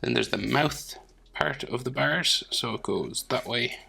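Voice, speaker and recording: A man's voice: medium pitch, measured pace, vocal fry, sharp tone, breathy edge. A man with a head cold, casually talking through a drawing step by step, thinking aloud with many fillers and dropping to near whispers. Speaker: drawing tutor.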